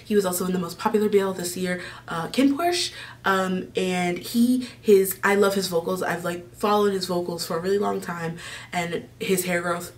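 Young adult American woman, casual vlog host, lower register, effusive rambling delivery, slightly distant mic. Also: moderate pace. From Maryland, United States. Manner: gushing, rambling. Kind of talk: casual chat to camera. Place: small decorated room indoors.

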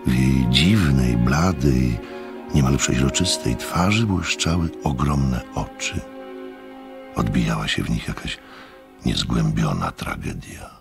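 Courteous gentleman narrator, radio play, very low voice, animated intonation, measured and observant, faint music behind. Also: gravelly, exaggerated voice. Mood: reflective, descriptive. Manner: measured, literary storytelling.

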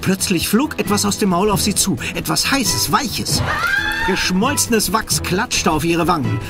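A man narrating a suspenseful children's audio drama with dramatic scripted storytelling. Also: high-pitched. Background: music.

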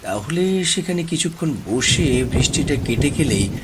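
A man's voice: mid-range voice, expressive, measured pace, very noisy, lightly acted. A man voicing a weary, regretful villager just out of prison in a horror cartoon, sighing and speaking respectfully. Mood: pondering.

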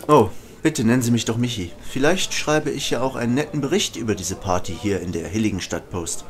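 A man playing a smooth, courteous, flirtatious newspaper reporter in an amateur German audio drama. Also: mid-range voice, expressive, a little fast, close to an everyday voice. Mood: charming. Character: suave, flirtatious male newspaper reporter who narrates his story. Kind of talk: German amateur audio drama, dialogue and first-person narration. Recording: clearly noisy home recording.

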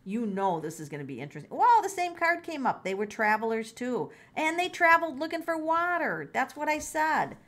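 A woman's voice: sits high, big pitch swings, fairly quick, hardly any pauses, a bit bright. A middle-aged woman doing a casual tarot reading, musing aloud, exclaiming and repeating her phrases. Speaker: tarot reader.

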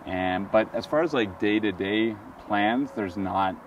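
A man's voice: fairly low pitch, unhurried, distant mic, lo-fi sound. A man in his thirties co-hosting a family travel vlog, talking casually with lots of fillers, seated outdoors beside a travel trailer. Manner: relaxed, rambling with fillers. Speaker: vlog co-host. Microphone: camera at a distance. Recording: outdoor noise, voice from off-mic.

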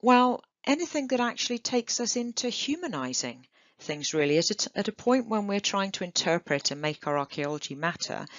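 Woman, archaeologist keynote, low voice, dull dry online recording, enthusiastic and measured. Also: a bit bright, no room sound. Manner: enthusiastic, measured, with occasional "um" and restarts. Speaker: archaeologist giving a keynote lecture. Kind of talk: illustrated conference talk over slides. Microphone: computer mic on a video call.

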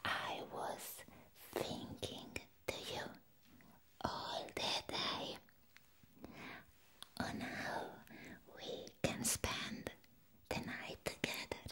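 A doting female vampire, played by a woman, whispers very slowly in an ASMR roleplay. Her English is non-native, and her breathy delivery is affectionate and clingy, with words softly repeated. The whisper is crisp and bright, lingering on each phrase. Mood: tender, wistful. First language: not English.

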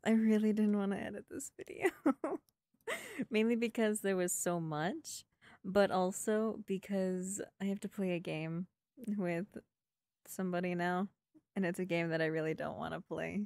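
A woman's voice: lowish voice, fairly even intonation, moderate pace, vocal fry, darkish tone, dry recording. A woman voice actor talking casually and informally to her listeners.

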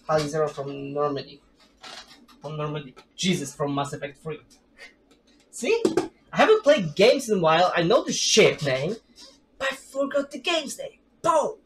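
Chatty slow-talking man streaming a game. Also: a little high, a little hiss or hum. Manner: rambling, chatty, self-deprecating, laughs often. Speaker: gaming streamer. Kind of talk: casual let's play commentary. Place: home room with gaming chair.

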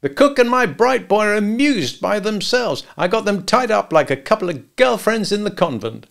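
A man's voice: sits high, very animated, normal speed, vocal fry, fairly bright tone, voice pushed up, heavily acted. A man voicing a small, bossy gangster hitman who barks curt, contemptuous orders. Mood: sneering.

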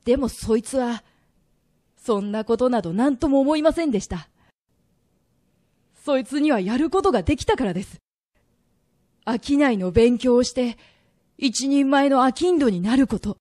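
A woman's voice: high voice, moderate pace, long pauses, warm tone, natural voice. A woman playing a remorseful, earnest young merchant in a radio drama, telling his story as an emotional confession. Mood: earnest, restrained. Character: reformed young merchant man, earnest, remorseful, pleading son.

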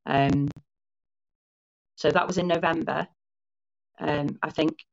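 Lo-fi woman in her forties, low-voiced. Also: steady tone, takes it slowly, gravelly. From Wales. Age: 40s. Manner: thoughtful, explains at length with small restarts and self-corrections. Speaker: guest. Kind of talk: casual conversational interview. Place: home lounge. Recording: dull lo-fi call audio.

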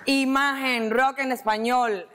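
A woman's voice: high-pitched, fairly even intonation, slow, fairly bright tone. A woman hosting a talk show, emphatic and playful. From Venezuela. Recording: music faintly behind.

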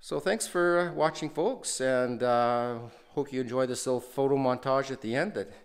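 A man's voice: mid-range voice, moderate pace. A man hosting a renovation video, casually walking through an empty house and talking with many fillers and restarts. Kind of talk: casual walkthrough narration.

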